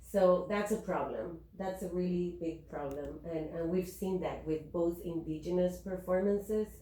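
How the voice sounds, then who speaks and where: A woman from Chile speaking at a panel discussion, thoughtful and halting with many fillers and restarts. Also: fairly low pitch, warm tone. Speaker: panelist, activist and educator. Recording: echoey room.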